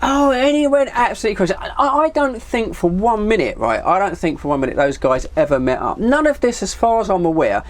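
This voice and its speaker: Rambling southern English bloke, chatty storyteller. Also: male voice, high-pitched, sing-song, brisk, hardly any pauses. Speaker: vlogger, CB radio hobbyist. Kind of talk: casual anecdotal chat.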